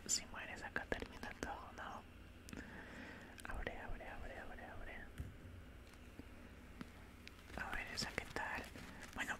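A young man playing a calm, politely menacing alien doctor in a soothing whispered ASMR roleplay, close to the microphone. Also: close to an everyday voice. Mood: clinical, coolly menacing. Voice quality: soft, breathy unvoiced whisper. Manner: slow, soothing, matter-of-fact. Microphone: close studio microphone.

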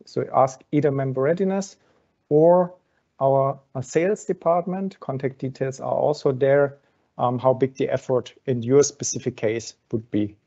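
A man's voice: mid-range voice, fairly even intonation, normal speed, mellow. A man hosting a webinar, answering audience questions step by step with many fillers over a video call. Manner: explains step by step with frequent fillers. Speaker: webinar host from an exchange. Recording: dull lo-fi video call audio.

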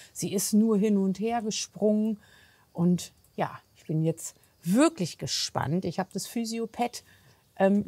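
A woman talking casually as a horse trainer, explaining things on the spot outdoors with a slightly husky voice. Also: mid-range voice, dark tone, no room sound. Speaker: horse physiotherapist and trainer, presenter. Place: outdoors at a horse yard and paddock.

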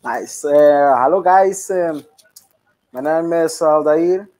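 A man in his twenties from Guatemala, a native Spanish speaker, answering interview questions over a video call in halting English full of fillers. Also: fairly high pitch, a little mellow. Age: 20s. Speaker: guest DJ. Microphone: headset on a video call.

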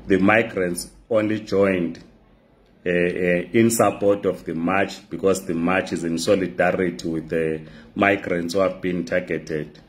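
A hoarse man speaks in a very low voice, straight into a close phone camera in a bright room. He addresses his viewers at a measured pace, filling pauses with "uh" as he discusses the issues. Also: steady tone. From Zimbabwe. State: hoarse from a flu. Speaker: political talk show host. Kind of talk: direct-to-camera political address.

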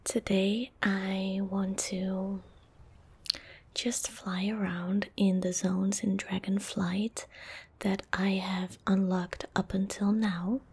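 A young woman talks in soft ASMR style, very breathy and whispered. Her delivery is gentle and hushed, with little rise and fall in pitch. She sounds close to the microphone. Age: young adult. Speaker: ASMR video creator. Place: small indoor room at home. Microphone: close desk microphone.

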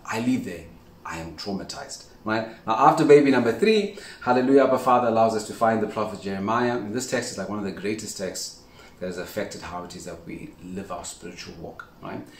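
Man in his 40s, fairly low voice, earnest faith talk to camera, keeps saying "right?", distant mic, roomy kitchen echo, faint hiss. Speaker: faith teacher. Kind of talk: casual religious teaching to camera. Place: indoors in a home kitchen with a large window. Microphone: camera at a few steps' distance.

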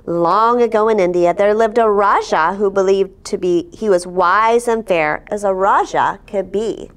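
A woman in her forties reading a picture book aloud to children as host, expressive and posing simple questions. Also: medium pitch, unhurried, smooth. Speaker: storyteller, host. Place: indoor studio set at a table. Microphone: lapel.

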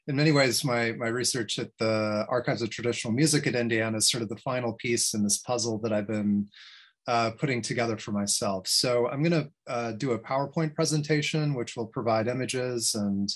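Guy in his 40s, medium voice, academic talk on video call, kinda halting. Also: medium pitch. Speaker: academic guest speaker. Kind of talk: informal webinar presentation. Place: indoor study with bookshelves. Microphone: video call, computer microphone.

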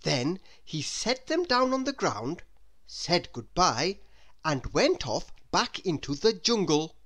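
A woman narrates a children's story in a lowish voice with sweeping intonation. She takes her time, reading very slowly and stretching out phrase endings expressively. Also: sing-song, fairly bright tone. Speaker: storybook reader. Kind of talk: children's read-aloud narration.

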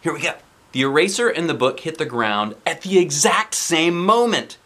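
A man in his forties reads a children's story aloud in an expressive, playful way, with asides to young listeners. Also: a little high, moderate pace. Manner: expressive, playful, exaggerated delivery. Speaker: children's book reader. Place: indoors, seated on a couch.

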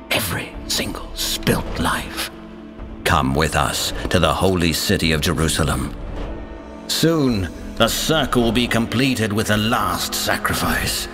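An actor playing a menacing Saracen warrior villain in a video game, persuasive and grandiose in an ominous way. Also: male voice, low voice, a bit bright, exaggerated voice. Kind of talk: dramatic video game voice acting.

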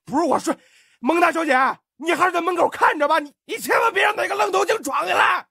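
A man voicing an excitable, wisecracking fat man in an audiobook drama, exclaiming, pleading and stammering. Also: extremely high pitch, fast, vocal fry, no room sound, studio quality, full character voice. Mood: alarmed, pleading. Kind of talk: dramatized audiobook character acting.

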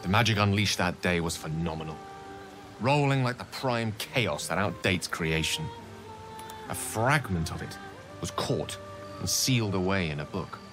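An eloquent, deep-voiced wizard. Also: male voice, animated, a little slow, over music. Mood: wistful, grave. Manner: articulate, theatrical, wordy. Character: eloquent human wizard confessing a dark secret. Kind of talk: dramatic video game dialogue.

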